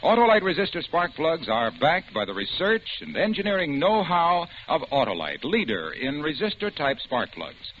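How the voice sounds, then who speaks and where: A man's voice: a little high, wildly swooping pitch, normal speed, hardly any pauses, a little hiss or hum. A man reading an old radio commercial in an upbeat, salesy announcer style. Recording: dull lo-fi old radio broadcast.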